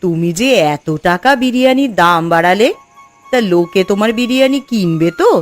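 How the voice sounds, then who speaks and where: A woman plays a materialistic village wife in a moral-story cartoon. She is demanding and insistent, nagging in a medium-pitched voice with light music behind. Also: expressive, brisk, close to an everyday voice. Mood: probing.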